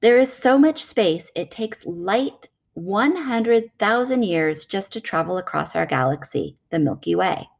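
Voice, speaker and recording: A woman narrates a children's nonfiction book aloud. Her voice sits at a medium pitch, and she reads in a measured, explanatory manner suited to young listeners. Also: lively intonation, creaky.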